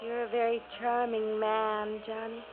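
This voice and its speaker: A woman's voice: a little high, monotone, measured pace, background noise, close to an everyday voice. Woman playing a flirtatious radio society heiress. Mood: coy. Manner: breezy, coquettish. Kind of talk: 1950s radio drama acting. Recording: tinny old radio, music behind.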